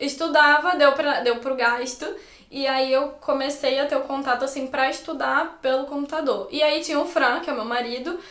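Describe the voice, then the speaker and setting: A young woman with a Brazilian Portuguese accent chats casually, her voice sitting high. She laughs readily and sometimes trails off before restarting. The room around her is noticeably echoey. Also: fairly even intonation, moderate pace, a bit bright. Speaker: channel host. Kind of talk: casual chat.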